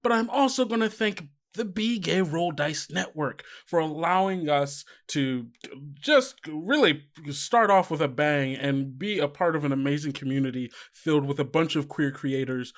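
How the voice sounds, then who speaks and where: High-voiced man chatting casually as podcast host. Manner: playful, self-deprecating, rambling. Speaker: podcast host and game master.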